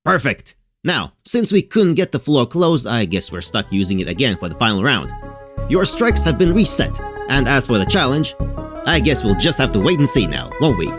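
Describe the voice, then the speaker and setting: A man's voice: medium pitch, sing-song, moderate pace, fairly bright tone, faint music behind. A man playing an exasperated, anxious host of a nightmare game show in an audio drama, sarcastic and showy. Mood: showy, forced cheer.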